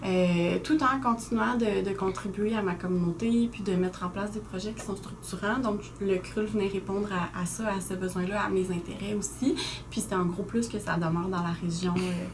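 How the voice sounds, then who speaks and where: A woman's voice: mid-range voice, steady tone. Earnest young Québécois woman, noisy line. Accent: Québécois French. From Quebec. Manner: earnest and articulate, with frequent "euh" pauses. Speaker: guest, new executive director. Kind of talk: radio interview. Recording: noisy community radio studio.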